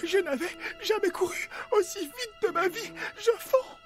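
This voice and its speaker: A naive, greedy little male cartoon animal, plaintive and earnest. The very high voice wobbles animatedly through its range, with music behind it. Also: expressive, a little slow. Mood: panting, plaintive. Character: gluttonous, naive, whiny young male animal disguised as cat.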